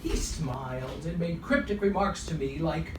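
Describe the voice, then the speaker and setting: A woman's voice: sits low, normal speed, natural voice. A woman playing a bitter, dramatic heir telling a tale with theatrical indignation in a rehearsed radio play. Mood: recounting, dramatic. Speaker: actor. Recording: noisy, echoey, distant rehearsal audio.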